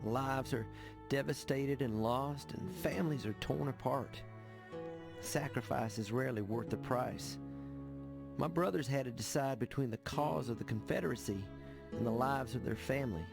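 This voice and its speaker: An actor playing a devout, sorrowful younger brother in a Western game, speaking solemnly and earnestly. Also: male voice, mid-range voice, normal speed, creaky, music in the background, lightly acted. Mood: mournful. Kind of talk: dramatic game narration and acted dialogue.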